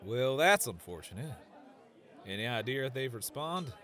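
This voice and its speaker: Cocky Western gunslinger played by a man, lively pitch. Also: moderate pace, a faint crowd. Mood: wry, casual. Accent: Western American. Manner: drawling slang, teasing, chuckling. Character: cocky, easygoing Wild West gunslinger in a fantasy game.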